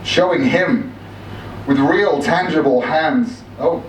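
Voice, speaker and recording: A man's voice: a little high, takes it slowly, pitched above the performer's own voice. A man playing an obsessive, boastful aging hunter in a dramatic story read aloud, with pompous, old-fashioned, theatrical diction. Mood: boastful, indignant. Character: obsessive, boastful aging male big-game hunter, bald, wistful. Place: live reading in a room. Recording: echoey room from a distance, noisy.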